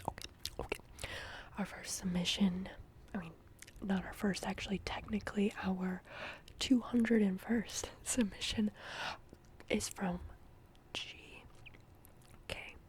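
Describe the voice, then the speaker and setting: This is a woman hosting an ASMR guessing game. She speaks almost entirely in a breathy whisper with hardly any voicing. She often repeats words and breaks syllables into little stuttered echoes for effect. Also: medium pitch, fairly even intonation, normal speed, long pauses. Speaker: ASMR host.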